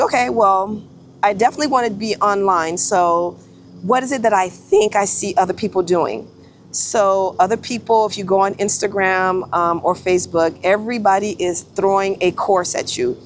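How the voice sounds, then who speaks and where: A woman's voice: medium pitch, a little hiss or hum. A woman in her forties, a photographer vlogging in a casual, chatty way, often correcting herself and restarting sentences. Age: 40s. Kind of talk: casual talking-head vlog.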